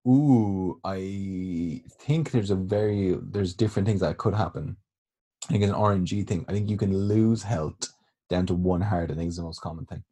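A man's voice: low-pitched, normal speed, mellow. A man answering quiz questions in casual chat, hesitant and thinking aloud with fillers. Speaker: quiz contestant.

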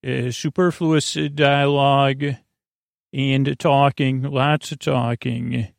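A man with a creaky voice hosting a bedtime podcast, talking in a lulling, halting, meandering monologue that keeps drifting into tangents. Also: medium pitch, little pitch movement, no room sound, studio quality. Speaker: podcast host.

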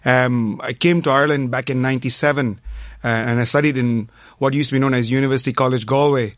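A man's voice: mid-range voice, fairly even intonation, fast talker, no room sound, clean studio sound. A middle-aged man from Malaysia, a doctor speaking hesitantly and modestly as a guest in a casual radio interview.

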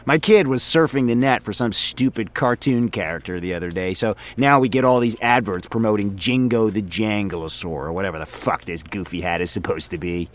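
A man's voice: fairly low pitch, fairly quick, hardly any pauses, lightly acted. A man voicing a chatty, oblivious male office coworker who rambles on with chuckles. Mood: rambling. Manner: rambling, chuckling, talks over others.

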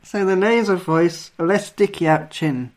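A man's voice: high voice, normal speed. Young man, nasal, casual podcast co-host, wry and self-mocking. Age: young adult. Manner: self-deprecating, chuckling often. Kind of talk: casual chat.

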